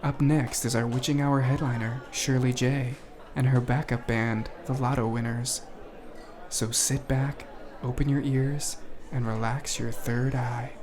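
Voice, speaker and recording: A man's voice: medium pitch, level tone, a little slow, a loud crowd, close to an everyday voice. A man playing a laid-back, wry poetry-night emcee, introducing acts in an audio drama. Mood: cool, inviting.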